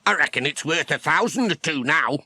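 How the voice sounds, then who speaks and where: A man's voice: a little high, animated, fairly quick, sharp tone, dry recording, voice pushed up, full character voice. A man voicing an astonished, folksy used-vehicle dealer in a children's audiobook, drawing out his words. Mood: amazed. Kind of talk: acted children's audiobook dialogue.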